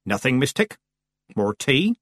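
A man's voice: medium pitch, big pitch swings, unhurried, fairly bright tone, slightly rough, no room sound, pitched above the performer's own voice, heavily acted. A man voicing a stern, blunt old country witch with firm, rustic speech in an audiobook. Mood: stern, unyielding. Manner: plain rustic grammar, firm.

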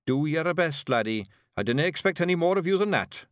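A man voicing a small, gruff male pictsie clan chief with a Scottish accent, brusque and commanding. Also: fairly high pitch, animated, a little fast, no room sound, studio quality, voice pushed up, close to an everyday voice.

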